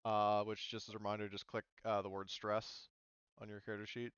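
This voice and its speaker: A man's voice: lowish voice, little pitch movement, a little fast, a bit bright, clear, resonant tone, no echo, muffled recording. A man running a tabletop roleplaying game as game master, casually explaining rules.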